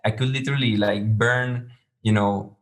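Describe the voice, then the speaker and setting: Guy on a podcast, lowish flat voice, explains things slowly and carefully. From Venezuela. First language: Spanish. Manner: measured, explanatory, hesitant. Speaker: podcast guest, startup founder. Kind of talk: interview answers.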